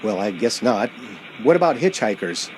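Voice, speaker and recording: A man's voice: lowish voice, sing-song, moderate pace, a little hiss or hum, poor audio. An actor playing an uneasy man driving alone, narrating a radio play in a hesitant, dramatic way. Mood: nervous questioning.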